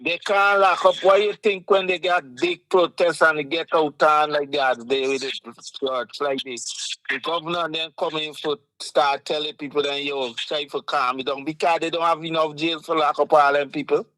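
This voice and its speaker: A man's voice: a little high, fairly even intonation, normal speed, bright, forceful tone, no room sound, low-quality recording. A man with a Guyanese Creole accent co-hosting a casual chat, earnestly explaining points with examples. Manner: earnest, explaining with examples, frequent "you understand". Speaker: co-host.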